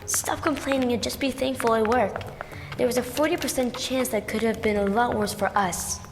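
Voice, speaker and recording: An actress playing a no-nonsense, sarcastic Time Lord agent with a dry, brisk manner in a science-fiction audio drama. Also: female voice, on the high side, steady tone, lightly acted. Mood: impatient. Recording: long reverb with music behind.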